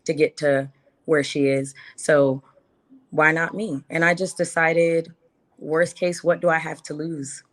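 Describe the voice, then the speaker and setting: A woman's voice: deep voice, little pitch movement, measured pace. A woman of about thirty telling her personal story casually as an interview guest, emphatically, repeating phrases and asking rhetorical questions.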